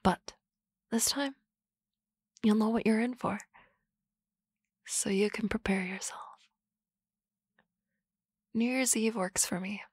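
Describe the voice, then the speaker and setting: A woman playing a teasing, confident office boss in a soft, close, whispered ASMR roleplay. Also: a little high, takes it slowly, long pauses, slightly rough, no echo.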